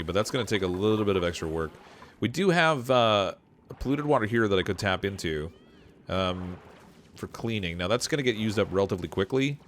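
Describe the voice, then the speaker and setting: A man streaming a game live, thinking aloud and answering chat, his voice still lower than usual from a cold, with frequent laughs. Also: slight background noise. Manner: chatty, laughs often, thinks aloud with restarts. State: voice lower from getting over a cold. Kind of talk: live gameplay commentary.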